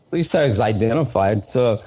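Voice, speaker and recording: A man with a Southern US accent, a reporter being interviewed, talking in a halting way with many fillers and repeated words. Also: fairly low pitch, expressive, dark tone, highs cut off. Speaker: independent news reporter, guest. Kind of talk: casual interview talk.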